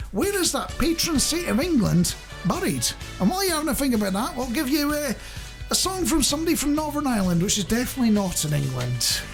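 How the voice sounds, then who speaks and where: A man's voice: extremely high pitch, gravelly. A man presenting a radio show in a chatty, rambling, jokey way, speaking directly to the listener.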